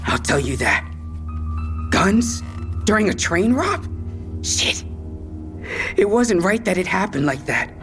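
A man's voice: sits high, big pitch swings, slow, long pauses, fairly bright tone, exaggerated voice. An actor in an audio drama playing a defensive young male street robber, talking in clipped slang. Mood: agitated, defensive. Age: young adult. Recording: music bed behind the voice.

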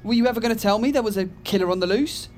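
An actress playing a guarded, wryly joking friend on the run in audio drama dialogue. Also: female voice, fairly high pitch, racing, darkish tone, soft background music, lightly acted. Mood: alarmed.

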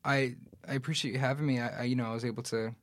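A man's voice: medium pitch, fairly even intonation, very fast, a bit bright. Loose, profane young man on a podcast. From New York City. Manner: loose and profane, many fillers. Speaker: podcast guest, actor. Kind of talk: casual podcast chat.

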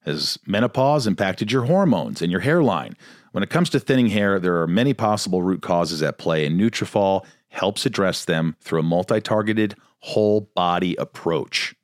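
A man with a fairly low voice reads a sponsor advertisement in a steady, promotional way. The sound is dry and close, with no room around it. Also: normal speed, no room sound. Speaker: podcast host reading an ad. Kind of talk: host-read advertisement.

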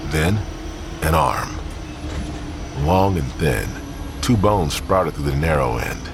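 Male narrator, rock-bottom pitch, grim crime fiction, deliberate scripted read, noisy ambient bed. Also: lively intonation, vocal fry.

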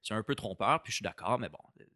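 Bright-voiced Québécois man explaining. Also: on the low side, expressive, quick pace, a little hiss or hum. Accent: Québécois French. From Quebec, Canada. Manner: explanatory, repeats words while thinking, mixes in English terms. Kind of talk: casual policy discussion.

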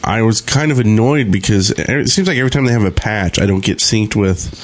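A man's voice: on the low side, fairly quick. A man co-hosting a podcast, talking informally in a casual chat about online gaming. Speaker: podcast host.

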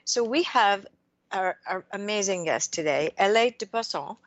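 A woman hosting a radio interview, speaking in a measured, reflective way and asking long framing questions. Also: medium pitch, animated, no echo, lo-fi sound. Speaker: radio show host.

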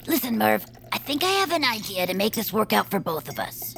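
A woman's voice: mid-range voice, big pitch swings, brisk, creaky, a bit bright. A woman playing an eager, plucky young fan with big schemes in a comedy audio drama, earnest and persuasive. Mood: eager, scheming. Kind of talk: audio fiction comedy acting.

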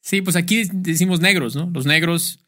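A man's voice: fairly high pitch, moderate pace, bright, forceful tone. A man hosting a casual podcast interview, readily agreeing and chiming in. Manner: agreeable, chimes in with affirmations. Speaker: podcast host.

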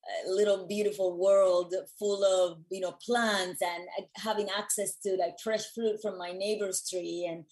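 A woman from Puerto Rico speaking as an interview guest and wellness educator, telling things in an earnest, expressive, conversational way. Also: mid-range voice, unhurried, rough recording.

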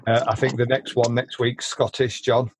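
A man hosting a casual podcast, chatty and quick to share opinions, often stumbling and repeating words as he starts a sentence. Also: mid-range voice, flat delivery, a little hiss or hum. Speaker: podcast host. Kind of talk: casual chat.

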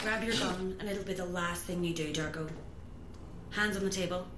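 An actress playing a vengeful escaped convict in an audio drama, curt, controlled and menacing. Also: female voice, on the low side, moderate pace, heavy background noise, natural voice. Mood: quiet menace. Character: steely vengeful escaped female convict calling in a debt.

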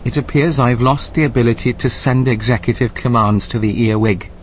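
A stiff and officious male AI overseer, acted by a man, talks in measured, formal phrases with careful wording. His voice sits at a middling pitch in a scripted audio drama, with faint background noise behind it. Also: natural voice, poor audio. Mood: bewildered.

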